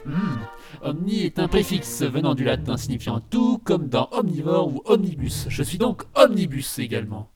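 A man performs an all-knowing but bumbling narrator in a parody audio play. He is literal-minded and hesitantly pompous, with a slightly high, very varied voice over background music. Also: sing-song, normal speed. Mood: mock-pompous. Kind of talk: comic audio drama dialogue.

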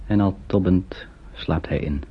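Low, husky male radio drama narrator. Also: measured pace. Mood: sober, probing. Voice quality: husky, gravelly. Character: sober, concerned radio play narrator. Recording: dull old radio recording.

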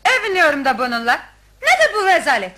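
A woman playing an indignant, shrill, protesting crocodile owner's wife in a comic radio play. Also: big pitch swings, fast talker, bright, forceful tone, full character voice. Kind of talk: comic radio theatre acting.

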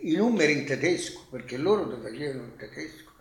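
An elderly man, recalling his past as a witness in a halting, colloquial way. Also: fairly high pitch, sing-song, reverberant. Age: 80s. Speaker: survivor giving testimony. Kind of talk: spoken reminiscence.

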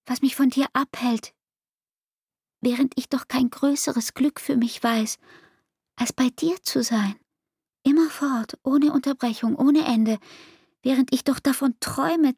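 An actress playing an anguished, fatalistic young woman in love in a literary radio play, with a husky, breathy voice. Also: high voice, a little mellow, no room sound, studio quality, heavily acted. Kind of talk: literary radio drama acting.

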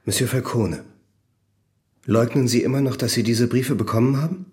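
An actor playing a persistent, methodical examining magistrate with a formal, probing manner in a German radio drama. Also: male voice, mid-range voice, normal speed, a little mellow, natural voice.

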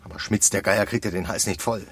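Raue Männerstimme, vorwurfsvoller Kollege. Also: male voice, fairly low pitch, steady tone, a little fast, a bit bright. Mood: reproachful. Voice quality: husky, rough. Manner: reproachful, blunt. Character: gruff exasperated male colleague scolding a young postwoman. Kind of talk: radio drama acting.

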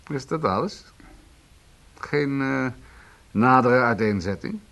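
A man's voice: medium pitch, lively intonation, extremely slow, a bit bright, heavily acted. A man playing a smug, menacing police sergeant in a radio play, mock-polite and needling in manner. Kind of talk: Dutch radio drama dialogue.

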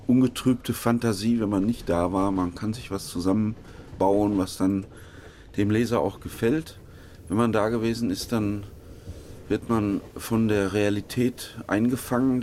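A man's voice: on the low side, darkish tone, slight background noise. A man being interviewed, talking reflectively in unscripted speech.

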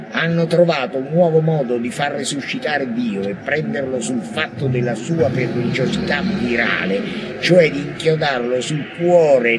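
A man's voice: mid-range voice, natural voice. On a noisy radio recording, a man acts out a visionary madman poet with a mid-range voice. He is intense and defiant, declaiming his monologue like a ranting prophet. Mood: feverish, insistent. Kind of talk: theatrical dramatic monologue.

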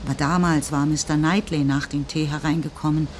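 A woman reading scripted literary narration for a radio play in a measured, composed way. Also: deep voice, fairly even intonation, a little hiss or hum. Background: music.

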